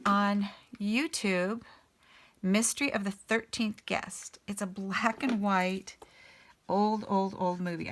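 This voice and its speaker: A woman hosting a casual coloring session, a little raspy as she gets over an illness, thinking aloud in an unhurried way with many ums. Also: mid-range voice. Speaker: artist and channel host. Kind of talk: casual color-along chat.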